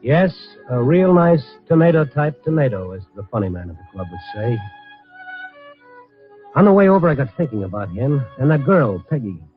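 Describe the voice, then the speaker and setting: An actor playing a world-weary, tough but kindly newspaper columnist in a clipped, wry 1940s hardboiled radio drama style. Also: male voice, medium pitch, very animated, moderate pace, lightly acted. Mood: gently reassuring. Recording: muffled vintage 1940s radio, music behind.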